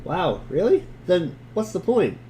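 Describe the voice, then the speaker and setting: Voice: medium pitch, big pitch swings, measured pace, dark tone, slight background noise. A performer playing an earnest, naive young boy hero in an amateur webcomic reading, eager and puzzled.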